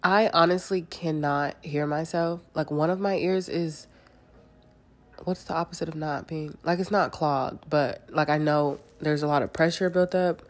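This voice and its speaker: A woman's voice: deep voice, steady tone, unhurried. A young woman hosting a casual solo podcast, sounding congested and nasal from a cold, chatting candidly and swearing freely. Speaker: podcast host.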